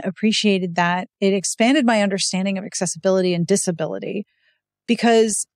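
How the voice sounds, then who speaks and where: A woman's voice: medium pitch, dry recording, clean studio sound. A woman hosting a podcast, chatting casually and expressively in an interview. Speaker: podcast host.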